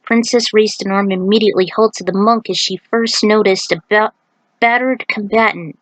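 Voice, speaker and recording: A woman's voice: medium pitch, steady tone, normal speed, no echo, low-quality recording. A woman narrating fan fiction as its writer, in an informal amateur reading style with small stumbles and self-corrections. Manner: informal, stumbles over words and corrects herself. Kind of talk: amateur story reading with casual author commentary.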